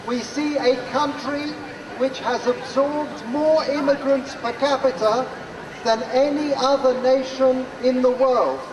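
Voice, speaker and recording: High-pitched rally speaker, slow oratory, distant reverberant PA. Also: steady tone, background noise. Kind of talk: public speech. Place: large outdoor square. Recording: distant PA speech with hall-like reverb.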